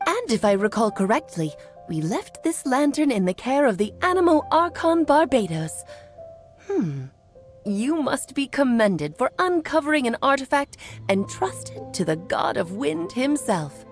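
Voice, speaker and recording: Coy, lively witch. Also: high-pitched, unhurried. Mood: coy, teasing. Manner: theatrical, coy. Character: playful, grand elder witch, coy and motherly storyteller. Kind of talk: video game character acting. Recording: music playing behind.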